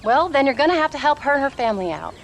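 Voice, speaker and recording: An actress playing a sharp-tongued, proud frontier wife and mother with an American frontier drawl, in a video game. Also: high voice, sing-song, racing, slight background noise, poor audio. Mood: suspicious, needling. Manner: sassy, teasing. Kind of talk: video game dramatic dialogue.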